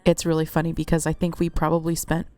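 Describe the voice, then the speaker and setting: A woman with a slightly congested, cold-affected voice, telling a personal account in a casual, measured storytelling way. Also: deep voice, completely flat delivery, darkish tone, soft background music. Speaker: host narrating a personal account.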